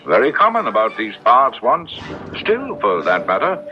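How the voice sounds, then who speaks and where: Fussy, lively old gentleman. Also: medium pitch, normal speed. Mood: fond, reassuring. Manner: hesitant, musing, old-fashioned exclamations. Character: kindly, fussy old scholarly gentleman, loyal friend. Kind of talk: old radio drama acting. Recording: dull lo-fi radio recording with music.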